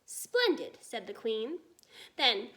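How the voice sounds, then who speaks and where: Animated high-voiced woman reading a children's book. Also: moderate pace. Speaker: storybook reader. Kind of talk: expressive scripted narration of a children's book.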